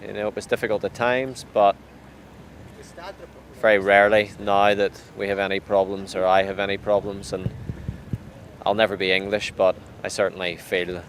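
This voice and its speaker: Young guy, lowish flat voice, kinda halting, loud street and boat noise behind. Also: rough recording. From Northern Ireland. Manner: thoughtful, halting, with frequent restarts. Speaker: interviewee. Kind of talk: reflective personal account. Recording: clear outdoor traffic and water noise.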